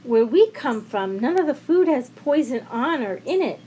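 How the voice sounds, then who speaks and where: A woman's voice: high-pitched, sing-song, normal speed, mellow, a little hiss or hum, pitched above the performer's own voice, rough recording. Woman acting emphatic, frank female visitor. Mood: earnest. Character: frank, courteous woman visitor from far away.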